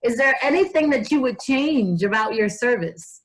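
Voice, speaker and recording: Woman interviewer with a higher voice. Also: animated, normal speed. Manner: hesitant, rephrasing questions with fillers. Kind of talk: interview questions.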